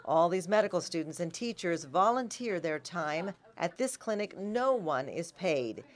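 Low-pitched American female news anchor. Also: expressive, a little slow. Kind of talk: scripted news narration.

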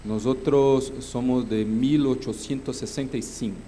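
A man's voice: medium pitch, fairly even intonation, a little slow, mellow, a little hiss or hum. Earnest man. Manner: earnest, addresses the audience with questions, frequent hesitations. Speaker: panel speaker. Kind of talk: conference opening remarks. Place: large conference hall, seated at a panel table. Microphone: desk microphone.

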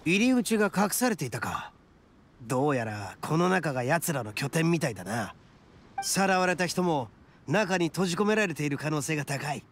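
A man voicing a brash, cocky young oni gang boss with rough, boastful delivery in a Japanese anime game. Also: fairly high pitch, sing-song, moderate pace, fairly bright tone, slight background noise. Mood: alert, determined. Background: game music.

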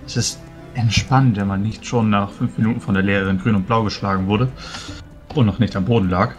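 A man plays in a tabletop roleplaying game, talking casually. His voice is on the low side, with soft music behind it. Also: steady tone, brisk. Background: faint music.

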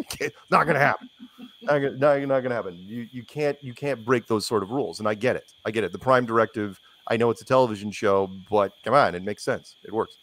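A man with an average-pitched voice speaks as a guest in a casual interview. He is opinionated and animated, wandering between points and repeating phrases for emphasis. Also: a little fast, poor audio.

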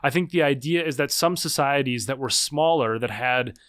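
A man in his thirties answers questions on a podcast, his voice sitting at an ordinary middle pitch. He works through his points carefully, hedging and restarting as he goes, with plenty of fillers. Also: normal speed, fairly bright tone. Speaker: podcast guest, author. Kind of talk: conversational interview.

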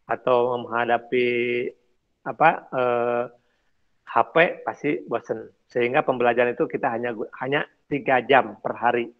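A middle-aged man, a teacher with a West Java Indonesian accent, explaining things informally and haltingly to students on a video call. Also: medium pitch, fairly even intonation. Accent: Sundanese-region Indonesian. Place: small indoor office or classroom, on video call. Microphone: headset on video call. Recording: dull, lo-fi video-call audio.

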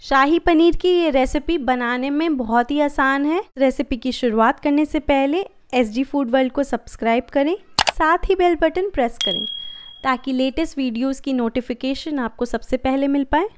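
A woman hosting a cooking channel, giving calm, friendly step-by-step recipe instructions in a voice-over. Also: sits high, moderate pace, background noise.

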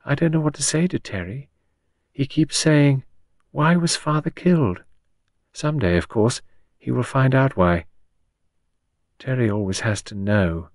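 A bewildered widow from an audiobook mystery, put on by a male reader. The voice is lifted in pitch and sounds plaintive and apologetic. She talks vaguely and anxiously, in a dry recording. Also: lively intonation, measured pace, long pauses, no room sound. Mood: bewildered, piteous.

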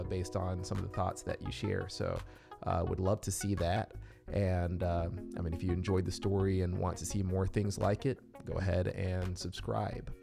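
American guy in his 30s, low gravelly voice, chatting to viewers, music behind him. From Alabama, southern United States. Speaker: narrator and channel host. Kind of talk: casual chat to viewers. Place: small indoor room. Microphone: close studio mic on boom arm.

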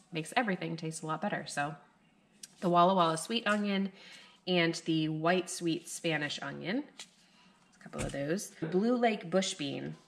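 Chatty low-voiced woman gardening vlogger. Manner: rambling and chatty, with many fillers. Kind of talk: casual chat to camera. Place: living room at night, indoors.

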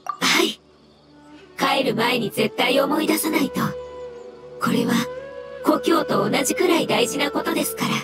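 A woman voicing a gentle, polite elemental creature in a video game, speaking in measured, formal phrases. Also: sits high, music in the background. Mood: wistful, sincere. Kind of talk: scripted game dialogue.

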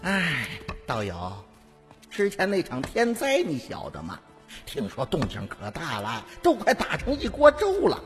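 A man's voice: fairly high pitch, wildly swooping pitch, measured pace, pitched above the performer's own voice. A man voicing a talkative, smug, gossipy Taoist priest in an audiobook. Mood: chatty. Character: chatty, smug, sly male Taoist priest, gossiping eagerly. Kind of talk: audiobook character acting. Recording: long hall reverb, music behind.

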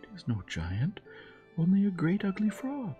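A man's voice: sits high, wildly swooping pitch, normal speed, dull audio, faint music behind, voice pushed up. A man voicing a spoiled, fickle young princess in a fairy tale, with a light, airy delivery. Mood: anxious.

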